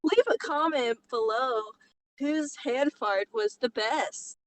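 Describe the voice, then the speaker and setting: A woman's voice: high-pitched, slow pace, slight background noise. A woman co-hosting a podcast over an online call, bantering playfully with teasing mock scolding. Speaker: podcast co-host.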